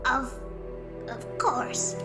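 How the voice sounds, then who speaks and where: A woman voicing a timid, deferential kobold soldier who stammers and pleads, in a fantasy audio drama. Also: drawn-out words. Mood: meek, grateful. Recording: dramatic music under the voice.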